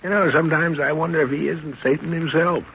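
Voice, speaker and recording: An actor playing a middle-aged professor and explorer, a fatherly and knowing leader with a measured, authoritative manner, in 1930s radio drama style. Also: fairly high pitch, steady tone, close to an everyday voice. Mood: wry. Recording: dull, hissy 1930s radio recording.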